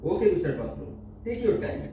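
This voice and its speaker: A man's voice: high voice, big pitch swings, mellow. Man playing formal hospital official, very echoey. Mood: firm, official. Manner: formal, measured, mixes in English. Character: formal, businesslike hospital official, firm about costs. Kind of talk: staged Bengali audio drama acting. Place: multipurpose hall stage. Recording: echoey hall, distant mic, noisy, dull.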